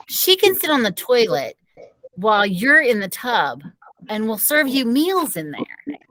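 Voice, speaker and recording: A woman's voice: a little high, fairly bright tone, full character voice, poor audio. Teasing, wisecracking storybook mom played by a woman. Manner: mock-serious, joking. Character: teasing, wisecracking mother of a fourth-grade boy.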